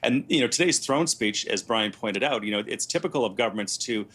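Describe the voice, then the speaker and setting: Very fast-talking man with lowish voice. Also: expressive, fairly bright tone, breathy edge. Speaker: political panelist. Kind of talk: conversational political commentary.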